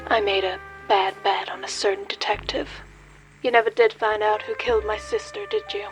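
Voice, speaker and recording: A woman's voice: medium pitch, steady tone, moderate pace, soft background music, lightly acted, poor audio. A woman playing a mocking, teasing femme fatale in a comic noir radio play. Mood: sly, sardonic. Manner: teasing, sardonic, theatrical.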